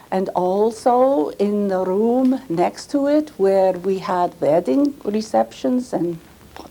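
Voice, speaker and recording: A woman playing an earnest Swiss immigrant grandmother in a reminiscing stage monologue, with a Swiss German accent and careful, halting, simple English. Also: mid-range voice, warm tone, poor audio. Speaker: storyteller performing a reenactment. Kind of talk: dramatized first-person monologue.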